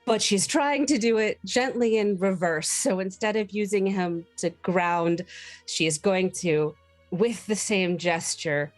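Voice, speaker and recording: A woman's voice: medium pitch, measured pace, dry recording, faint music behind. A woman playing a tabletop game, casually describing what her character does.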